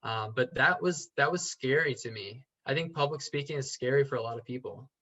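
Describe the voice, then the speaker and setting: A man speaking as a podcast guest in an earnest, halting way, often stopping to restart his sentences. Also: medium pitch, pushed and bright. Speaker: podcast guest, real estate investor. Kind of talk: casual interview chat. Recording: slightly dull lo-fi sound.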